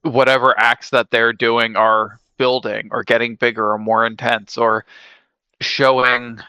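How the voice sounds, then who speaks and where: Bright-voiced man chatting on a podcast. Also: medium pitch, little pitch movement, measured pace, smooth, no echo, poor audio. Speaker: podcast co-host. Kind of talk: casual explanatory podcast chat.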